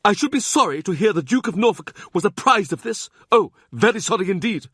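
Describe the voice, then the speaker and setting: A man's voice: high-pitched, expressive, brisk, a bit bright, breathy edge, dry recording, clean studio sound, voice pushed up, exaggerated voice. A man voicing a domineering, scornful older cardinal who mocks and bullies as he speaks. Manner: mocking, bullying, theatrical.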